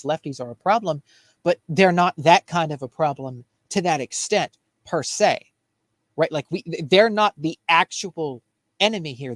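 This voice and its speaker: A man with a fairly high voice talks politics as a show co-host. He is earnest and emphatic, circling back over phrases to drive them in. The sound is dry, with no room on it. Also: dry recording. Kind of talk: political commentary in casual chat.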